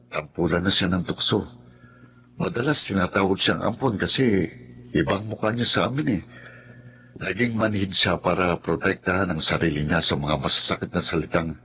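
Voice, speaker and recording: An actor playing a patient, kind older brother who speaks softly and pleads gently. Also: male voice, low-pitched, slight background noise. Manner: soft-spoken, pleading.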